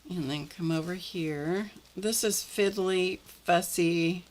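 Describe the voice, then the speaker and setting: Slow, low-voiced woman demonstrating crafts. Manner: relaxed, chatty, talks while working with her hands. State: slightly croaky voice. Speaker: art journaling host.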